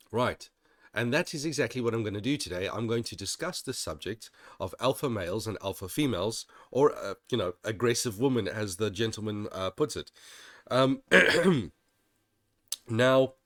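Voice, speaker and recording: Man, host, casual commentary, slightly nasal from illness, lowish voice, dry close sound. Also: no echo. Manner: rambling and digressive, with frequent restarts. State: occasional coughing. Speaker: channel host.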